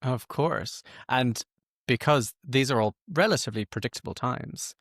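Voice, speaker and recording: A man hosting a conversational podcast interview, speaking in slightly halting, repeated phrases. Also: medium pitch, a bit bright, dry recording, studio quality.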